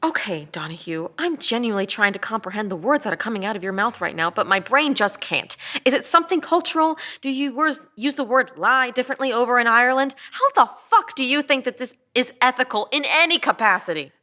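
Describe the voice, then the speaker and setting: Hot-headed female cop, woman acting; forceful, slightly high voice, incredulous and argumentative, dramatic read. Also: lively intonation, brisk, few pauses.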